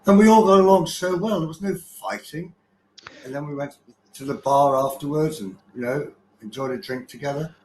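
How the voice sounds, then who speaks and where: A man's voice: fairly high pitch, moderate pace, darkish tone. A man in casual chat, reminiscing in a meandering way. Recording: roomy echo with faint hiss.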